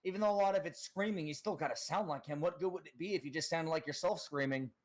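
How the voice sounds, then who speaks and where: A man's voice: a little high, fast. A man chatting over voice chat as a guest on a stream, rambling and hesitant as he talks about doing voice impressions. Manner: rambling, hesitant, self-conscious. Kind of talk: casual voice chat.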